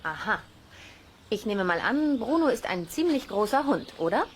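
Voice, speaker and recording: A woman's voice: a little high, sing-song, moderate pace, slight background noise. Assured female biologist, faint ambience. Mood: matter-of-fact, assured. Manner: plain, assured explaining. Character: matter-of-fact female wolf biologist. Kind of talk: children's audio play dialogue.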